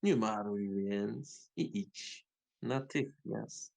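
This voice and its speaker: A man's voice: on the low side, gravelly, heavily acted. A man voicing a scheming, commanding sorcerer who poses as a kindly uncle in an audio fairy tale, speaking with deliberation. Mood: falsely gentle. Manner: slow, deliberate instructions turning stern or falsely gentle.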